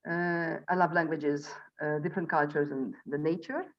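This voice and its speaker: A woman in her forties with a Hungarian accent, giving an informal spiritual presentation to an online group as a healing instructor and coach, in halting, deliberate phrases. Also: deep voice, steady tone. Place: online video call from Budapest. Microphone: video call microphone. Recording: dull, lo-fi video call audio.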